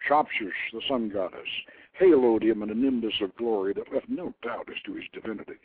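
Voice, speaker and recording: A man narrating an ancient legend as a storyteller, with theatrical, grandiose and deliberate phrasing. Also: fairly low pitch, sing-song, husky. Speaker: storyteller, host reading his own novelette. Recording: very dry internet radio, narrow phone-like band.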